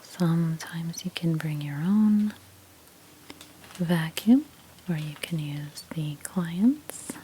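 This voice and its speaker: Slow, breathy, near-whispering ASMR woman. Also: deep voice, lively intonation, slight background noise, rough recording. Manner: gentle, step-by-step explaining. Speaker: ASMR creator playing a house cleaner. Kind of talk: soft-spoken ASMR roleplay narration.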